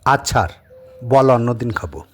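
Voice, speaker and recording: Man voicing a curious, lively young city visitor. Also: mid-range voice, normal speed, soft background music, close to an everyday voice. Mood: polite. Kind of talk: Bengali audio story acting.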